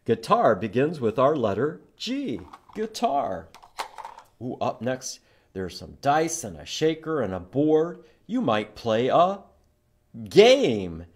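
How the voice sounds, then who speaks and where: A man's voice: mid-range voice, sing-song, slow pace. A man hosting a children's library storytime, reading and teaching letters in simple, repeated phrases and prompting young listeners to join in.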